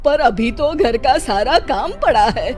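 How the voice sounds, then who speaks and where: A woman's voice: high voice, lively intonation, a little slow, over music. A woman playing a scolding, easily offended old mother-in-law in a dramatized moral story, with a domineering, sarcastic manner. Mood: bossy, disapproving. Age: older.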